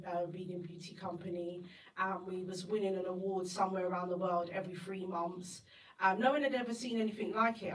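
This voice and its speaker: A woman's voice: medium pitch, slight background noise. Woman in her 30s, chatty storyteller, laughs a lot, roomy echo, mic kinda far. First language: Igbo. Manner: chatty, laughs often, acts out her own inner voice. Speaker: festival guest speaker. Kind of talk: animated interview storytelling. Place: event venue indoors. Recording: echoey room, mic at a distance.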